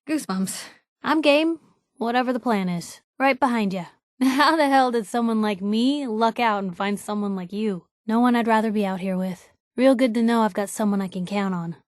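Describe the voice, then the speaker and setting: A woman's voice: fairly high pitch, dry recording, clean studio sound, natural voice. A woman playing a spirited, sardonic young reporter in a post-apocalyptic video game. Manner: sardonic, teasing, plucky. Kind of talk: video game companion dialogue.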